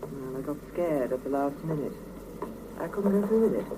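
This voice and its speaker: A woman's voice: low-pitched, expressive, moderate pace. A woman playing a wry, resigned scientist confiding matter-of-factly in a 1970s radio drama. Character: candid, resigned female scientist confiding in a friend. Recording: noisy old mono cassette radio recording.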